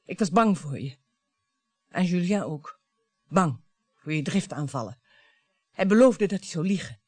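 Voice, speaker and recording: A bitter, grieving wife, widely swinging voice. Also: female voice, on the low side, expressive, dark tone, slightly rough, no room sound. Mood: bitter confessing. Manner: dramatic, halting, taunting. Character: bitter, grieving wife and mother confronting her husband. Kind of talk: Dutch radio play acting.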